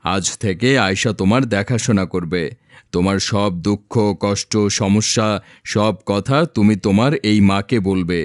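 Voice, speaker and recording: A man playing a gentle, reassuring village father in an animated moral story, explaining in a soothing, measured way. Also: lowish voice, dry recording, studio quality, lightly acted.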